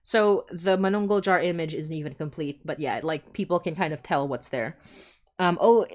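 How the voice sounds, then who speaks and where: A woman's voice: sits low, moderate pace. A woman from the Philippines hosting a livestream, chatting informally and thinking aloud as she explains things. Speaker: podcast creator hosting a fundraising livestream. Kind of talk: casual rambling livestream explainer.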